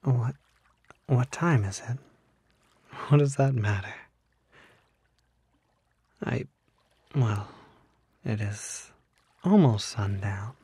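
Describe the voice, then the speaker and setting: A man playing a smooth-talking, unsettling kelpie in an ASMR roleplay, breathy and half-whispered, with halting, coaxing, genteel speech. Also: medium pitch, expressive, warm tone, no echo, heavily acted. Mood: coaxing, reluctant. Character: unsettling smooth-talking male kelpie, charming, needy, secretly predatory.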